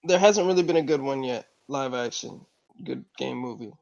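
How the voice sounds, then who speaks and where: A man on a podcast panel arguing his points in casual, halting talk, over muffled call audio. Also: medium pitch, creaky, a little mellow. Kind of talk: casual discussion. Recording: dull lo-fi online call audio.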